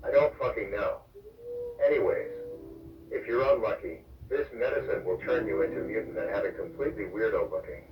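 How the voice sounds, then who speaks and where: A man's voice: fairly low pitch, a little fast, background noise. A man delivering comic scripted narration in a crude, flippant way, as the narrator of a parody public warning. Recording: muffled, echoey, distant, under music.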